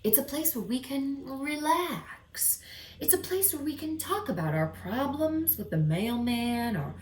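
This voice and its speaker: A woman voicing a suave, calm male dog who runs a club, speaking slowly and with emphasis in a storybook reading. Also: sits high, roomy sound, a little hiss or hum, voice pushed up. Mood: proud, expansive.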